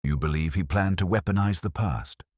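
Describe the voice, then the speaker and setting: A man's voice: low voice, normal speed, darkish tone, no room sound, clean studio sound. A man voicing an attentive, questioning companion to a detective in an audiobook story.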